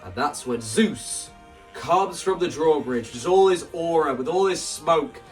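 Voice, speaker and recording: A man gives a casual, animated walkthrough of staging ideas. He sounds some distance from the microphone, and music plays underneath as he talks. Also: a little high, a little slow. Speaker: video creator explaining stage play ideas. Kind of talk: casual spoken walkthrough to camera.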